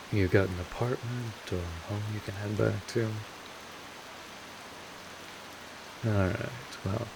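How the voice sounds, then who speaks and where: A man playing a world-weary, gruff but kindly vampire mentor in an audio roleplay, explaining things casually and unhurriedly to the listener. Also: deep voice, heavily acted. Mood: gruff, protective. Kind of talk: roleplay audio drama, talking directly to the listener. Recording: steady hiss of rain-like noise.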